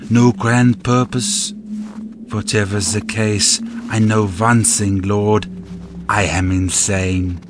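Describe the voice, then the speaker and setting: An actor playing a war-weary soldier in bitter, anguished prayer, a grave and deliberate audio drama monologue. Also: male voice, lowish voice, little pitch movement, background noise. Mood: bleak, bitter. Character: despairing, war-weary male soldier praying bitterly for death. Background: music.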